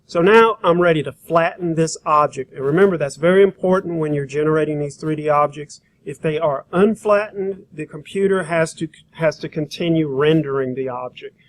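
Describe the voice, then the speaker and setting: A man with a slightly high voice gives a hands-on software tutorial as a design instructor. He talks informally, thinking aloud while he works and explaining steps as he goes. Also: normal speed, hardly any pauses.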